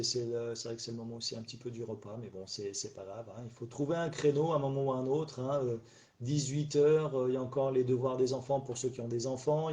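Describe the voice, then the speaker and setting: Middle-aged man, live-stream host, stuffy from a cold, warm, relaxed tone, halting delivery full of fillers. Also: mid-range voice. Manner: rambling, hesitant, many fillers and restarts. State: slightly stuffy after a cold. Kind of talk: casual solo live chat. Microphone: phone or webcam on a live stream.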